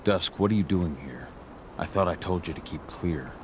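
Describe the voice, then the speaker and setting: A man playing a gruff, determined warrior in a video game, terse and defiant in his delivery. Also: low-pitched, lively intonation, moderate pace, slight background noise. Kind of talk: video game cutscene acting. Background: music.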